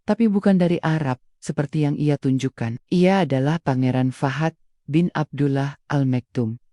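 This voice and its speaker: A narrator reading a scripted story in an even, steady way. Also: mid-range voice, normal speed, no room sound.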